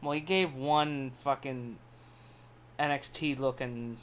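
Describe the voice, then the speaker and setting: A man's voice: mid-range voice, steady tone, takes it slowly, a bit bright. A man co-hosting a podcast with blunt, sweary, casual banter. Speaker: podcast co-host.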